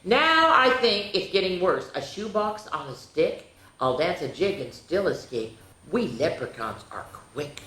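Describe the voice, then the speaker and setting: A woman's voice: on the low side, sing-song, measured pace, a bit bright, reverberant, voice pushed up, poor audio. A woman voicing a cheeky, boastful little male leprechaun in sing-song rhyme, reading a children's picture book aloud. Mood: cocky. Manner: sing-song rhyming taunts.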